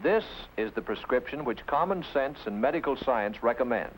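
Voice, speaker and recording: A man's voice: mid-range voice, expressive, moderate pace, rough recording. A man delivering scripted 1950s educational film narration in a didactic, emphatic manner, posing rhetorical questions, on an old film soundtrack.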